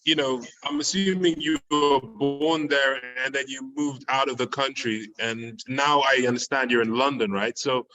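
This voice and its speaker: A man's voice: mid-range voice, fairly even intonation, moderate pace, few pauses, bright, forceful tone, far from the mic, faint music behind, low-quality recording. A man hosting a podcast interview, asking casual questions padded with fillers. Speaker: podcast host.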